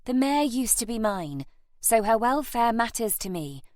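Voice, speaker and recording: Woman acting a poised, determined young noblewoman, slightly raised pitch. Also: sing-song, a little slow, dry recording, studio quality. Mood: firm. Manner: poised, earnest, insistent. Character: dignified, calm, determined young aristocratic lady.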